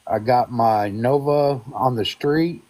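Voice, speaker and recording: A man's voice: mid-range voice, slow, a little mellow, a little hiss or hum. A man chatting and joking playfully with friends on a live stream. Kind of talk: casual group chat.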